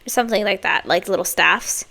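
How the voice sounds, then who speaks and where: A woman's voice: medium pitch, a little fast, bright, forceful tone. A young woman hosting a reaction video, sounding tired with a slightly worn voice, rambling casually with many fillers and restarts.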